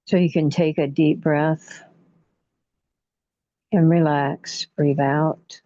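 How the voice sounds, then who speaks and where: Slow, low-voiced woman guiding relaxation. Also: steady tone, mellow, no room sound. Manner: soothing, unhurried, with long pauses between short phrases. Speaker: guided imagery facilitator. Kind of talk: guided relaxation meditation. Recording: muffled, dull lo-fi audio.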